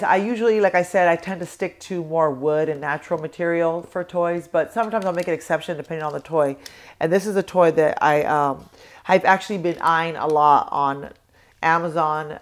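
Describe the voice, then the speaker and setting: A young American woman with a cold and a sore throat, chatting casually to the camera about toys, rambling with lots of ums and restarts. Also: low-pitched. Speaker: toy review vlogger.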